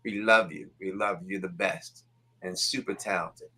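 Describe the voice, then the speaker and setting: A man hosting a casual live stream, giving upbeat shout-outs to viewers and repeating words, his voice getting a little raspy. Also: sits low, steady tone, normal speed, heavy background noise.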